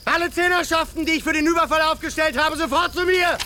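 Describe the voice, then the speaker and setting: A man's voice: very high-pitched, steady tone, fast, bright, forceful tone, slight background noise. An actor playing a male military commander who barks urgent orders in a radio drama. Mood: commanding.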